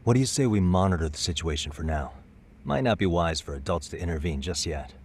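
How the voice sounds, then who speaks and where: An actor playing a calm, wry private detective in his thirties, explaining things in a measured, casual way in a game drama dub. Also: low voice, slight background noise. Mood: dry, assured.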